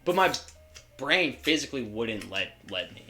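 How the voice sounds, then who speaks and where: A man's voice: medium pitch, sing-song, moderate pace, sharp tone, soft background music. Young man, podcast co-host, rambling, stumbles and restarts, swears, casual chat.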